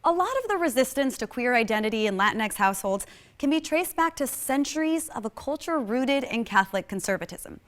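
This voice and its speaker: A woman hosting a show reads scripted narration. Her voice sits a little on the high side. She is sassy and wry, with a dry, knowing bite. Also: animated, brisk, fairly bright tone. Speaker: host.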